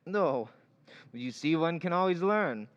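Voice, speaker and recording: A man's voice: a little high, lively intonation, slow, clear, resonant tone, lo-fi sound. A man playing a humble, courteous music teacher in old-time radio drama style, speaking politely and hesitantly. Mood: polite, curious. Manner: polite, hesitant, careful phrasing.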